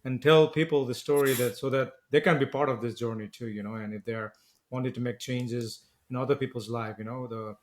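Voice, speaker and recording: A man's voice: mid-range voice, steady tone, brisk, a little hiss or hum. A man from Nepal hosting a podcast interview, rambling and repeating words as he frames his questions. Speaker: podcast host.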